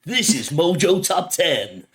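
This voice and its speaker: A man's voice: a little high, sing-song, slow, fairly bright tone, slight background noise. A young man co-hosting a podcast in casual chat, teasing his co-host and laughing often.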